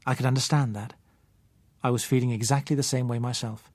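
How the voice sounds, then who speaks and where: A British man narrates a first-person novel. His voice is mid-pitched and his delivery is measured and literary. The recording is dry, with very little room around the voice. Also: steady tone, a little mellow, no room sound. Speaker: audiobook narrator.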